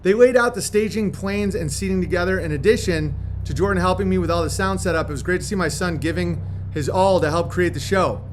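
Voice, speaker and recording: High-pitched man, casual and slangy. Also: brisk, few pauses, noisy background. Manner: laid-back slangy talk with frequent swearing. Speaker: host reading a fan letter aloud. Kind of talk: casual livestream chat with letter reading.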